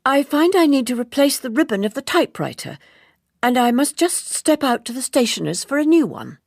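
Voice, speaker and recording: A woman's voice: high-pitched, very animated, fairly quick, gravelly, no room sound, clean studio sound, voice pushed up, full character voice. A woman voicing a proud, reserved but earnest female typist in an audiobook, speaking in a prim, careful way. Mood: composed. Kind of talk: audiobook character dialogue.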